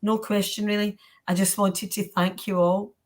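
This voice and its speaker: A woman's voice: mid-range voice, level tone, normal speed, darkish tone. A Scottish woman hosting a panel Q&A in a chatty, colloquial, encouraging way. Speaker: panel host.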